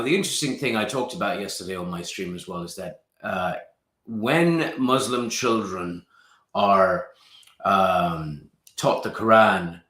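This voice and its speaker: Bright-voiced man with a British accent. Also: deep voice, roomy sound. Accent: British, well-traveled. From Pakistan. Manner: hesitant, many restarts and fillers. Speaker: guest. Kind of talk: casual interview chat.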